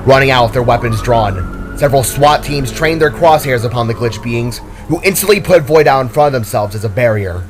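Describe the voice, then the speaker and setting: A man's voice: medium pitch, expressive, brisk, soft background music. A man reading scripted fantasy action as a dramatic story narrator.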